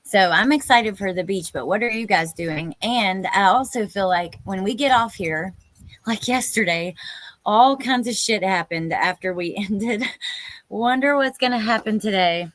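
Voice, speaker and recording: A woman's voice: medium pitch, measured pace, a bit bright, no room sound. A woman in her thirties hosting a live stream, chatting informally and exclamatorily with viewers and reading out their comments.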